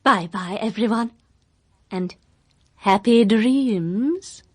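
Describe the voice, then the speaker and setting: A woman's voice: medium pitch, very slow. A woman playing a prim, bossy alien presenter in a comic radio play, sing-song in manner. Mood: prim, chirpy. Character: bright, bossy alien presenter, patient with partner.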